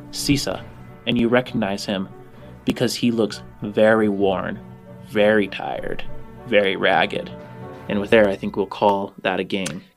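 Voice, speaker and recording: A man narrating as game master of a sci-fi tabletop game, improvising descriptions in deliberate, drawn-out phrases. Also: lowish voice.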